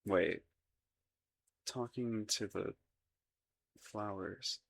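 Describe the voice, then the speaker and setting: A man's voice: on the low side, a little slow, gravelly, a bit bright, a little hiss or hum. A man acting a shy, lovestruck young crown prince in a romantic roleplay audio, hesitant and stammering, with formal courtly phrasing. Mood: coyly defensive.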